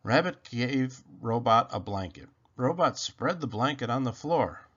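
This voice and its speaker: A man reading a children's story aloud with expressive, dramatic narration. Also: medium pitch, measured pace, muffled recording. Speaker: storybook reader.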